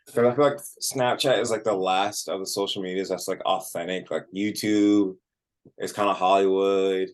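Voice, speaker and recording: A man with a low-pitched voice gives a casual interview as a musician. His phrasing is informal and conversational, peppered with "like.". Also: fairly even intonation, normal speed. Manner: informal, frequent "like" as filler.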